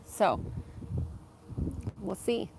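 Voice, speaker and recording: A woman hosting a live stream from her backyard, talking slowly and softly, pausing with ums as she reads and answers chat comments. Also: slight background noise, poor audio. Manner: slow, soft-spoken, with frequent ums.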